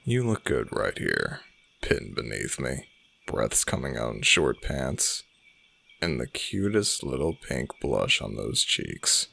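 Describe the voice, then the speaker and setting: A man performs a smug male werewolf alpha for a romantic audio drama. His deep, creaky voice drawls slowly and swings theatrically as he teases and flirts. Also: big pitch swings, bright, forceful tone, full character voice. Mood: seductive. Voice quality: low, gravelly. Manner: slow, teasing, theatrical. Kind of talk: romantic audio roleplay acting.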